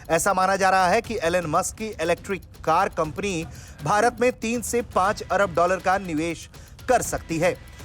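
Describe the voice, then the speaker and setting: A man's voice: high voice, a bit bright. A man narrating a scripted news report as a reporter. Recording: music bed under the voice.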